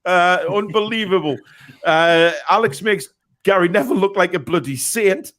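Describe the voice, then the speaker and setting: A man with a Geordie accent hosting a live football watchalong, reacting loudly and reading viewer comments aloud. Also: high-pitched, moderate pace. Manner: excitable, laughs often, reads chat aloud. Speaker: host. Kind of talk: casual live watchalong chat.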